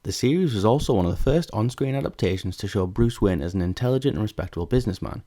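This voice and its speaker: A man's voice: fairly low pitch, animated, fast, dark tone. A man reading scripted narration as the narrator of a video essay.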